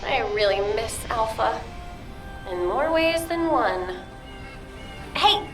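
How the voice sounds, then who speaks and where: A woman's voice: a little high, expressive, slow, faint music behind, poor audio. A woman playing a bubbly, impulsive and sentimental young sci-fi soldier in a video game, chirpy and playful. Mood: playful, whiny.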